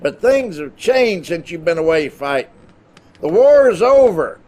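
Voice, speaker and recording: An actor playing a blustery, folksy older American general in a video game cutscene. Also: male voice, sits high, very animated, measured pace, a little mellow. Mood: jovial.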